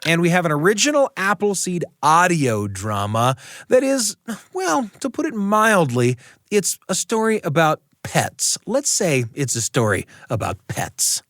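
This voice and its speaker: A man's voice: high-pitched, huge pitch swings, measured pace, a bit bright. An American man hosting a radio show, reading scripted narration.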